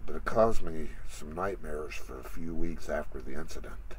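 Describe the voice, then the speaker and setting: A man's voice: deep voice, full character voice, low-quality recording. A man playing an ex-Marine comic shop worker, narrating a scripted horror story in a dramatic, suspenseful way. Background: music.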